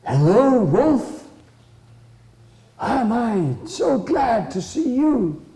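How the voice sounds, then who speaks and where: A man's voice: extremely high pitch, big pitch swings, drawn-out words, mellow, voice pushed up, heavily acted. A man voicing a small, brave and humble male mouse in a folktale, speaking earnestly and simply. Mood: eager, hopeful. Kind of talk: oral folktale storytelling. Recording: roomy, echoing space.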